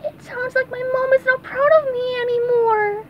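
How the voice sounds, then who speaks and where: A woman reading aloud and voicing a cheerful young male sea sponge in a high, squeaky put-on voice. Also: steady tone, moderate pace, highs cut off, slight background noise. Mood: heartbroken.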